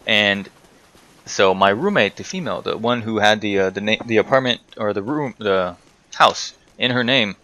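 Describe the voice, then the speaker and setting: A man's voice: fairly low pitch, moderate pace, pushed and bright. A man giving casual storytime commentary while playing a game, rambling, correcting himself and muttering swears at the game. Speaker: gaming video host telling stories while playing.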